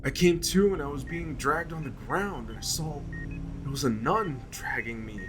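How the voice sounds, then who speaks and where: Man acting a frantic, higher-voiced terrified male patient. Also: expressive, normal speed, over music, close to an everyday voice. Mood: shaken, recounting fearfully. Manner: stammering, frantic, panicked. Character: terrified, hysterical male patient who escaped a monster. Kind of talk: horror audio drama acting.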